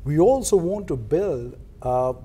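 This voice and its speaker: A man with a Sri Lankan accent explaining things as a guest in a televised interview, halting now and then mid-sentence. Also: on the high side, animated, warm tone, breathy edge. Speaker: interview guest, foundation co-founder.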